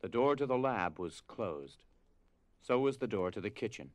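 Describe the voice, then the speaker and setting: A man narrating a scripted drama as storyteller, with grave, deliberate phrasing. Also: on the low side, expressive, no room sound.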